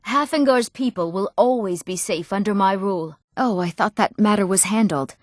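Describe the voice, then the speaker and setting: An actress voicing young townswomen and nobles in a fantasy video game, with earnest, clearly spoken scripted lines. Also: female voice, mid-range voice, moderate pace, no echo, studio quality, natural voice. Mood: formal, regal. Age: young adult.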